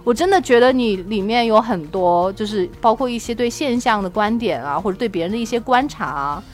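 A woman's voice: fairly high pitch, expressive, fairly quick, soft background music. A woman hosting a casual chat, talking in an effusive, eager way. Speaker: podcast host.

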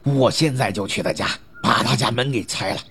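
A man's voice: mid-range voice, a little fast, gravelly, slightly rough. A man playing a hot-tempered, thuggish gang boss in an audio drama, aggressive, crude and threatening. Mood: angry, stubborn. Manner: aggressive, shouting, belligerent.

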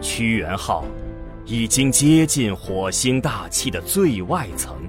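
A man's voice: mid-range voice, very animated, slow pace. A man narrating a science fiction radio drama in a dramatic scripted style. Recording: music under the narration.

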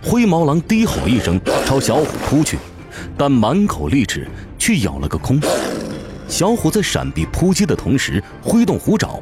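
A man delivers dramatic scripted fantasy narration. His mid-range voice rises and falls with great animation. Music plays clearly behind him throughout. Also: medium pitch.

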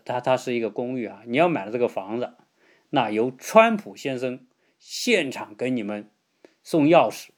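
A man's voice: medium pitch, darkish tone. A man hosting a podcast, delivering casual political commentary in a conversational way, with rhetorical questions, check-in tags and emphatic stresses. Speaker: podcast host.

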